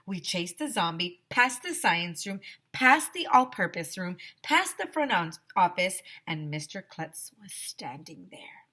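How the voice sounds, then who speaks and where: A woman reads a children's book aloud like a teacher to her class. Her slightly low voice swings widely in pitch, and she takes her time, pausing dramatically and speaking straight to the young listeners. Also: very animated, sharp tone.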